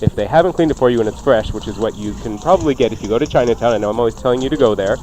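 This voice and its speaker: A man's voice: mid-range voice, very fast. A man with a mid-range voice hosts a radio cooking show. He chats informally and jokes as he goes. Faint noise sits behind him on the recording. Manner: jokey, informal banter. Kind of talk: casual radio cooking chat.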